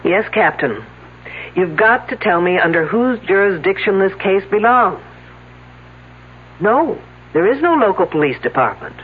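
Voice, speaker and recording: An actress playing a brisk, probing lady police detective in a 1970s radio drama, with pointed, insistent questions. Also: medium pitch, sing-song, husky. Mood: exasperated, insistent. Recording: narrow, tinny old radio broadcast.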